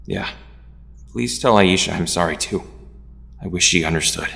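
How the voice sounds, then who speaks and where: A man's voice: deep voice, moderate pace, gravelly, fairly bright tone. A man playing an angry, rebellious young hero in an audio drama, defiant and intense. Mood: bitter resolve. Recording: long hall reverb.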